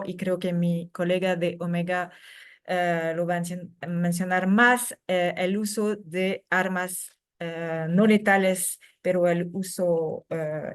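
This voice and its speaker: A woman speaking Spanish as a second language, giving a conference talk over a video call, with many fillers and restarts. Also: medium pitch. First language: not Spanish. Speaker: secretary general of a human rights NGO.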